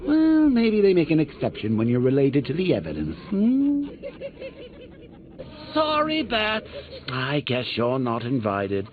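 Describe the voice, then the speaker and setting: A man's voice: a little high, wildly swooping pitch, a little slow. An actor playing a sadistic, cackling male clown villain who taunts the hero with theatrical mockery in video game voice lines. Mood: mocking. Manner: mocking, theatrical, sing-song taunts and cackles. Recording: tinny lo-fi game audio with loud noise.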